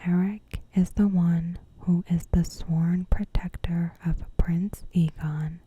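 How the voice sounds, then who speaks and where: A woman narrates in an ASMR whisper, extremely breathy and mellow. Her delivery is slow, gentle and carefully phrased, staying very even in pitch as she reads her scripted recap. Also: highs cut off. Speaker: ASMR host.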